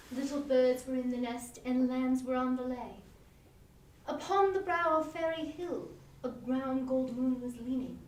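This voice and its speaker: High, slow, haunted country woman recited by a performer. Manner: deliberate, lyrical, solemn. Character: mournful young country woman haunted by death omens. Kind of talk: dramatic poetry recitation. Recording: echoey room, distant mic, faint noise.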